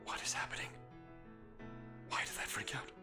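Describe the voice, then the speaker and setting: A man's voice: moderate pace, over music. A man with an Arizona accent hosting a live stream, chatting casually with viewers in a playful, teasing, rambling way.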